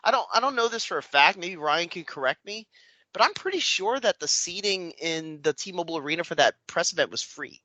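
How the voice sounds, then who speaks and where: A man co-hosting a casual wrestling podcast, opinionated and rambling, his voice dropping off quietly at the ends of thoughts. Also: high voice, expressive, brisk, dry recording, poor audio. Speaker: podcast co-host.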